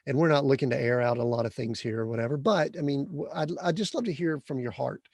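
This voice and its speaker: Creaky-voiced man hosting a podcast. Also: mid-range voice, quick pace, darkish tone, dry recording, clean studio sound. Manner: earnest, rambling questions with many restarts. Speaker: podcast host. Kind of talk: conversational interview questions.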